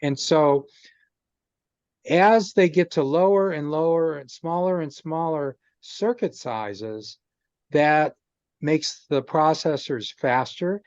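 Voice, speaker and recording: A man's voice: on the high side, slow, a little mellow, smooth, no room sound, dull audio. A man in a casual video call chat, explaining technical ideas patiently with lots of "um" and restarts. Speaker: guest explaining chip technology. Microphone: video call microphone.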